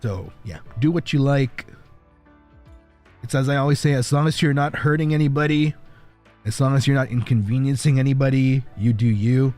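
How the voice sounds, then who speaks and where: A man's voice: medium pitch, steady tone, moderate pace, dark tone. Male streamer, mid-range voice, rambling reassuring chatter over faint music. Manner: rambling, reassuring, laughs easily. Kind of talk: casual chat with viewers.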